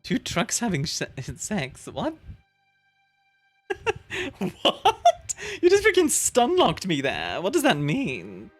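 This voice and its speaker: A woman's voice: medium pitch, wildly swooping pitch, slow pace, pauses often, faint music behind. A woman from Belgium with a British-leaning English accent, streaming and chatting casually with her viewers, bubbly and giggly with lots of fillers. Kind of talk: casual chat reading live chat.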